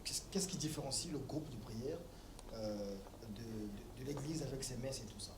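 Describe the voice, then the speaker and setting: A male interviewer asking a pastor quick, stumbling questions. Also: mid-range voice. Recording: echoey room, off-mic, noisy.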